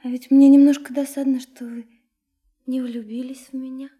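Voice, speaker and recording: An actress in a radio drama playing a sincere, lovesick young woman, soft and hesitant, often dropping into a breathy whisper. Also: high voice, flat delivery, normal speed, muffled recording. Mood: playful, eager. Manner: hesitant, earnest, confiding. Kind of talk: intimate radio drama acting.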